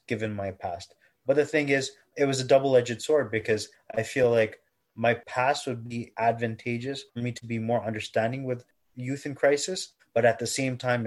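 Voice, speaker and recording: Earnest young Canadian man. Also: mid-range voice. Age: 20s. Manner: earnest, measured, self-correcting. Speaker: interviewee. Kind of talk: reflective personal testimony.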